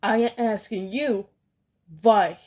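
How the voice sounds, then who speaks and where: A woman's voice: on the low side, measured pace, a little mellow, lightly acted. A woman reading a storybook aloud and voicing a furious, domineering female witch leader who shrieks orders in stilted, oddly worded speech. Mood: ranting.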